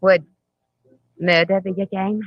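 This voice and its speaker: A woman's voice: mid-range voice, level tone, a little slow, no room sound, natural voice. A woman in an old radio drama playing a chatty, conspiratorial underworld recruiter who coaxes and pries. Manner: coaxing, inquisitive. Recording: tinny lo-fi vintage radio recording.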